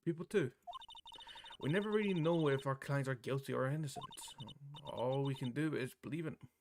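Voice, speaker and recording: A man voicing a calm, wise woman lawyer and mentor from a visual novel, measured and gently encouraging. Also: mid-range voice, background noise, close to an everyday voice. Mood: reassuring. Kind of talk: visual novel read-aloud.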